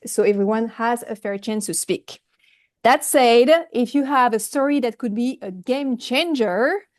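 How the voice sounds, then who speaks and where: A woman with a strong French accent speaking on an online video call, careful and a little halting over her words. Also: on the high side, a little mellow. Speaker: volunteer timekeeper at a group meeting. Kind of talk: reading a prepared announcement aloud.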